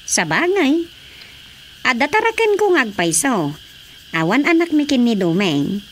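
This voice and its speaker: A woman's voice: high-pitched, big pitch swings, unhurried, a little hiss or hum. An actress with an Ilocano accent playing a reasonable married woman, chatting and musing in a radio drama.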